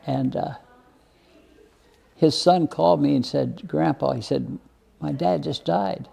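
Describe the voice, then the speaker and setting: An elderly man speaks slowly and reflectively in an interview. His voice is gravelly and soft-edged, with a mellow tone. He halts and repeats words as he tells his plain-spoken personal stories. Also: on the high side. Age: over 80. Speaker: interview guest, retired minister.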